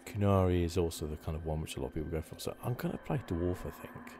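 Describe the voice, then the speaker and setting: Male gaming host, extremely low voice, gentle hesitant ASMR delivery, clear background noise on the recording. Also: lively intonation, quick pace. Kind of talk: ASMR game playthrough commentary.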